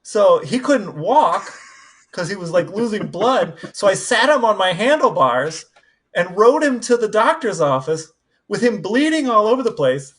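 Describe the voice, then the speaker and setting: A man's voice: sits high, very animated, measured pace, fairly bright tone. A man co-hosting a podcast, chatting casually and anecdotally. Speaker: podcast co-host.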